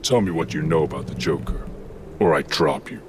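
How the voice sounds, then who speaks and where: A man's voice: very deep voice, a little fast, noisy background. A man playing a grim, threatening masked vigilante in a radio drama, speaking tersely. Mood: cold threat. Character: grim, menacing male masked vigilante interrogating criminals.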